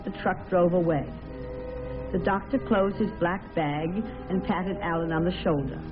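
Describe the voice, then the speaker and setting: A woman's voice: on the low side, normal speed. A woman giving scripted dramatic narration on a tinny vintage radio recording, with music behind her.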